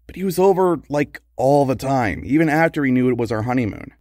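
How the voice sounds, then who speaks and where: An actor playing a worried, long-suffering husband of a secret superheroine in an audio comedy drama. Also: male voice, medium pitch, animated, fairly quick, a little mellow, no room sound, studio quality, natural voice. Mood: wry, worried.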